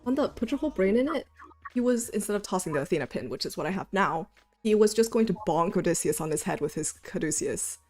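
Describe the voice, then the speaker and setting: A woman's voice: mid-range voice, animated, fast talker, a little mellow, faint music behind. A woman animator chatting casually on a livestream about her sketches, self-deprecating and talkative.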